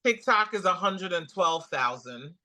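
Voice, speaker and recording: A woman's voice: on the low side, unhurried. A woman with a Guyanese accent, a comedian guest telling stories in a casual interview and acting out the quotes. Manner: animated storyteller, acts out quotes.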